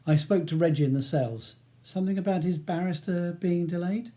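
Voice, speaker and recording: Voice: mid-range voice, normal speed, mellow, voice pushed up, natural voice. A reader voicing a careful male barrister who explains himself in a conciliatory, placating way.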